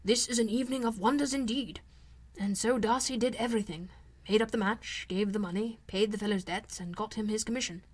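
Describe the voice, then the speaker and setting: A wry older gentleman father from a classic novel audiobook, played by a woman. The delivery is measured, dry and formal, with a fond, advising temper. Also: a little high, steady tone, natural voice. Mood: amused, wry. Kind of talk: audiobook character voice.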